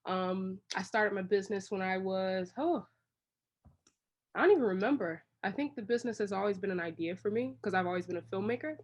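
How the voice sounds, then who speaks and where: A woman's voice: medium pitch, fairly quick. Chatty woman from Washington, D.C. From Washington, D.C., United States. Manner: long-winded, rambling storytelling. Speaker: interview guest, creative director. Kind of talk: casual, conversational interview answers.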